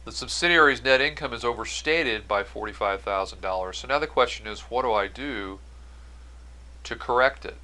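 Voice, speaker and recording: A man's voice: mid-range voice, normal speed, pushed and bright. A man giving an explanatory accounting-style lecture as an instructor, going step by step and stressing key phrases. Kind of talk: explanatory lecture over on-screen notes.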